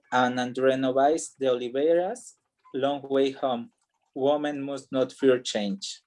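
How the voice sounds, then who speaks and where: A man's voice: mid-range voice, a little mellow, poor audio. A man moderating an academic panel, making formal introductions and carefully reading a speaker's biography aloud over a video call.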